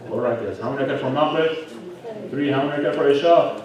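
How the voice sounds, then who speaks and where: Male preacher, interactive sermon, medium pitch, rhetorical questions, heavy room echo, audible congregation. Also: fast talker, muffled recording, crowd noise. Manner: conversational, questioning, frequent rhetorical questions and "right?" tags. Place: large echoing religious hall. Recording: very echoey hall, distant, audible congregation.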